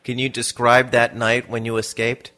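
A man's voice: mid-range voice, completely flat delivery, normal speed, bright, forceful tone, faint music behind. A man hosting a radio interview program, reading introductions and putting questions to a guest.

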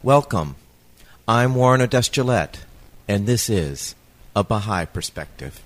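A man with a fairly low voice presents a radio interview program. He speaks as the host, moving between scripted introductions and questions for his guest. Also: expressive, unhurried.

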